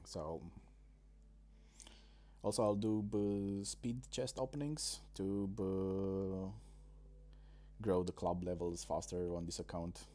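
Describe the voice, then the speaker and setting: Slow, mellow-voiced man. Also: deep voice, steady tone. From Romania. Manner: halting, many pauses and fillers. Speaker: game streamer. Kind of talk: casual live-stream commentary. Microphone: desk microphone.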